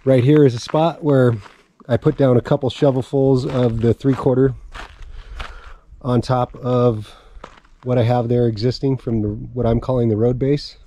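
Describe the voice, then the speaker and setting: A man's voice: medium pitch, fairly even intonation, moderate pace, mellow. A man in his forties giving a casual walk-around vlog outdoors on open dry land, explaining his plans as he points things out. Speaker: homesteading vlogger.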